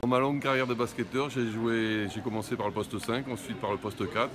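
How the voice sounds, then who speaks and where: A man's voice: mid-range voice, steady tone, a little fast. A man, a basketball youth coach, introducing himself casually with playful, joking self-mockery.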